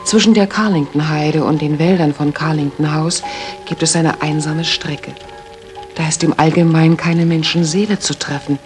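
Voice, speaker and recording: Radio drama role: worried young lady woman actor, slightly low pitch, earnest careful delivery, faint music underneath. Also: fairly even intonation, normal speed, lightly acted. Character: worried, polite young lady client being followed. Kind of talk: German radio drama acting.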